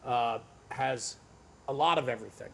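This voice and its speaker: A man's voice: medium pitch, moderate pace, creaky, fairly bright tone, a little hiss or hum. A man hosting a conversational interview, speaking emphatically and in listed phrases. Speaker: podcast host and interviewer.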